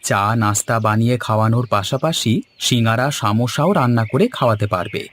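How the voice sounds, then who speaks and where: A man's voice: mid-range voice, a little fast, a bit bright, a little hiss or hum, natural voice. A man playing a sociable, persuasive village man in a cartoon, explaining and coaxing good-humouredly. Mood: upbeat, persuasive. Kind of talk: cartoon dialogue acting.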